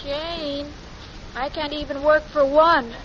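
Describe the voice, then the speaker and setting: A sweet, dim-witted young American woman in an old radio comedy. Her voice is extremely high, with hesitant, earnest muddle. The vintage recording is muffled and clearly noisy. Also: fairly even intonation, takes it slowly, a bit bright. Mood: sheepish. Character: sweet, scatterbrained, naive young working woman. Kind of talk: 1940s radio sitcom acting.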